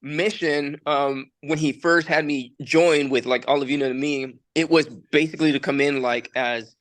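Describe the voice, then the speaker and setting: A man's voice: a little high, fairly even intonation, moderate pace, fairly bright tone, no echo. Chill young guy rambling on in a studio, lots of um and like, dry close sound. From El Salvador. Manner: laid-back, rambling, many fillers and restarts. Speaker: musician and studio producer. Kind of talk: casual podcast conversation. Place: recording studio.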